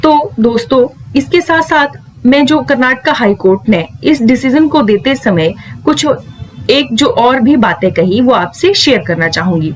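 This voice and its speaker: A woman's voice: a little high, lively intonation, measured pace, rough recording. A woman explaining legal news to viewers in a didactic way, mixing English terms into her speech. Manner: didactic, poses questions and then answers them. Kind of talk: explanatory lesson addressed to viewers.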